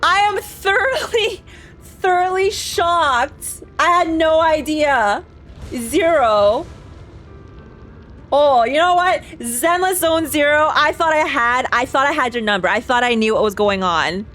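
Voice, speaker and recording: A woman's voice: high voice, takes it slowly, a bit bright. A woman giving casual gaming reaction commentary, playful and sarcastic, often repeating phrases for effect. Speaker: reaction streamer. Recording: game music under the voice. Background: game music.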